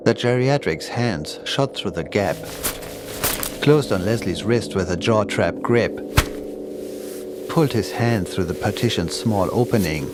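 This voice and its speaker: Male horror narrator, lower-pitched, scripted storytelling delivery, clear music bed underneath. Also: animated, a little slow. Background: creepy music and sound effects.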